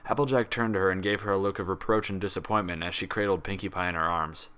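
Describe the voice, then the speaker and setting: A man with a fairly low voice narrates fiction. He reads scripted prose in a dramatic storytelling style. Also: steady tone, fast, fairly bright tone, smooth. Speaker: fan fiction reader.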